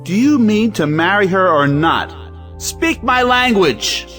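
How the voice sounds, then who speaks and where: Actor as a blustering, domineering man, swooping pitch. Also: expressive, slow, bright, forceful tone, soft background music, heavily acted. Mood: outraged. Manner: blustering, commanding. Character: domineering, hot-tempered moralizing older brother. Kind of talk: audio play acting of an old stage drama.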